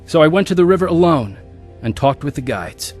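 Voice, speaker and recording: A man's voice: a little high, big pitch swings, brisk, a little mellow, soft background music. An actor narrating and playing a young man looking back on his wild youth in a radio drama.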